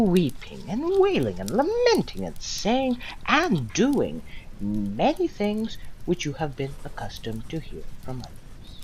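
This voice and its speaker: A woman playing a condemned old philosopher, defiant and serene, delivering a solemn, deliberate theatrical monologue to judges with long pauses. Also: fairly low pitch, heavily acted, poor audio. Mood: reproachful. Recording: amateur recording with loud background noise.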